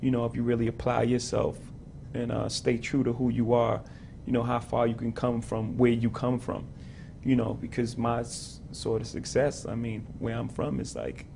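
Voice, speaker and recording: A man in his forties, an interview guest, talking hesitantly and filling pauses with "you know". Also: medium pitch, gravelly, warm tone, slight background noise. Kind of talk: casual interview talk. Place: studio set like a living room.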